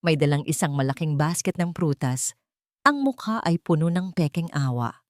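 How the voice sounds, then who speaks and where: A woman narrator tells a dramatic story from a script. Her voice sits low for a woman. The sound is dry, with no room around it. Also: expressive, moderate pace, a little mellow, dry recording.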